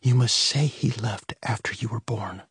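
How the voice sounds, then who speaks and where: A man's voice: mid-range voice, lively intonation, measured pace, a little mellow, husky, dry recording, clean studio sound, voice pushed up. A man voicing a frightened, stern mother who whispers urgent warnings to her children and drills them harshly.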